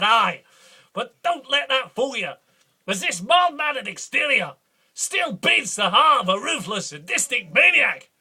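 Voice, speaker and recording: A man playing a boastful, belligerent duke in a rambling, bombastic comic monologue, with a husky voice. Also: high voice, pushed and bright, heavily acted. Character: boastful blustering male Scottish duke, belligerent. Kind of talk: improvised comic character monologue.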